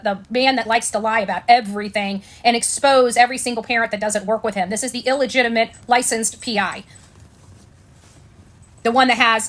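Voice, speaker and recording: A woman's voice: a little high, fairly bright tone. An American woman giving informal, opinionated livestream commentary in a blunt, sarcastic way, repeating phrases for emphasis. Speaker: online commentator and show host.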